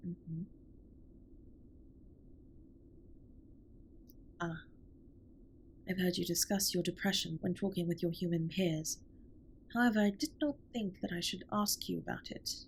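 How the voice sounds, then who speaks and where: A woman playing a formal, caring alien spaceship captain in an intimate audio drama, speaking in a measured, precise way with a breathy, husky voice. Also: fairly low pitch, a little hiss or hum. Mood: hushed, tender. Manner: formal, measured, precise wording with few contractions. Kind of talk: scripted roleplay audio drama, intimate comfort monologue.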